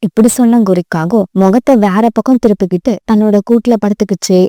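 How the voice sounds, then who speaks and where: Woman reading a kids story, warm relaxed tone, no room echo, scripted narration. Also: mid-range voice, fast, clean studio sound.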